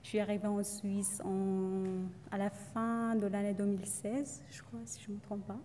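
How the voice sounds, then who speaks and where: Young woman, second-language speaker, halting testimonial talk, medium pitch, reverberant hall. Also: flat delivery, dark tone, a little hiss or hum. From Iran. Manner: hesitant, many fillers and restarts. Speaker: student speaker.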